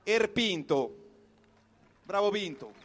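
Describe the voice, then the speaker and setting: A very high-pitched male voice with a Roman accent recites a comic monologue. He delivers it like live storytelling, in short colloquial phrases with pauses between them. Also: lively intonation, a bit bright. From Rome, Italy. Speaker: comic performer reciting his own piece.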